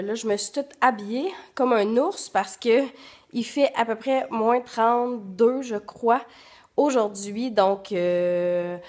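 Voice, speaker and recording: A woman's voice: mid-range voice, darkish tone, no room sound. A young woman with a Quebec French accent, congested from the flu and a bit out of breath, chatting loosely with many fillers while walking in snowy woods. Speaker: vlogger. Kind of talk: casual vlog chat while walking outdoors. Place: snowy woods outdoors in deep cold.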